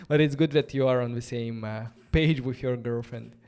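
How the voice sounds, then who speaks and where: Smooth-voiced man with a Romanian accent. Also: mid-range voice, a little slow, darkish tone. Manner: thinks aloud, loose and chatty, many "like" fillers. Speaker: host of a coding livestream. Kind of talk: casual live-coding stream. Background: faint background music.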